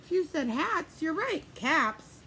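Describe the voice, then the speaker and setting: A woman preschool teacher reading a picture book aloud in an expressive, sing-song way, pausing to put questions to young children. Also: high-pitched, a little hiss or hum.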